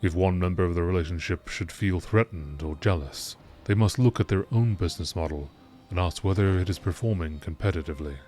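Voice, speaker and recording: Low-pitched man narrating, documentary style. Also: a little fast, few pauses, dark tone, soft background music. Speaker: narrator reading game lore entries.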